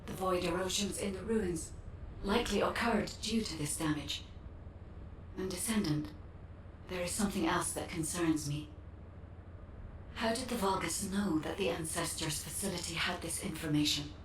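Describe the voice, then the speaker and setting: An actress voicing a calm, formal artificial guide in a game cutscene, speaking solemnly and precisely. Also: female voice, lowish voice, normal speed, roomy sound, far from the mic, slight background noise, close to an everyday voice, poor audio. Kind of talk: scripted game cutscene acting.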